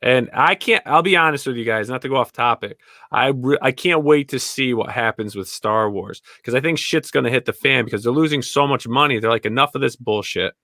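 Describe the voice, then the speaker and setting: A man's voice: mid-range voice, brisk, hardly any pauses, sharp tone, no echo, studio quality. A man talking bluntly and casually in an opinionated panel chat, repeating his key phrases for emphasis and swearing now and then.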